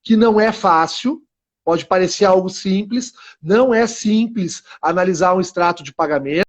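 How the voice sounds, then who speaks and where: A man's voice: high-pitched, normal speed. A middle-aged man hosting a live-stream interview, eager and rambling, often restarting his sentences. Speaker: host lawyer.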